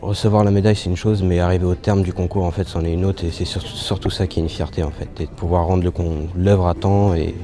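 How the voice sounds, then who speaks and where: A man's voice: deep voice, fairly even intonation, fast, few pauses, mellow. A man aged twenty-one from the Paris region, a young apprentice craftsman giving informal interview answers. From Paris region, France. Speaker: apprentice marquetry craftsman, award winner. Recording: music playing behind the voice. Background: background music.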